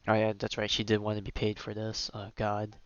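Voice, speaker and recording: A man giving casual live-stream commentary on a video game, thinking out loud and reading game text in muttered asides. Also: lowish voice, monotone, brisk, smooth, dry recording, lo-fi sound.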